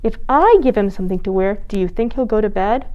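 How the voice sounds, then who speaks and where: A woman voicing a little girl's gentle mother in a children's storybook reading, calm and mildly questioning. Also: mid-range voice, fast talker, lo-fi sound, lightly acted.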